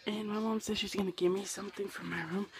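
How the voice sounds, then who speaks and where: A man's voice: high voice, fairly even intonation, fast, vocal fry. A young man vlogging casually from his bedroom on a handheld camera, rambling and self-deprecating, with a voice that is wearing out. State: losing his voice. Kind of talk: casual vlog talk to camera. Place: own bedroom.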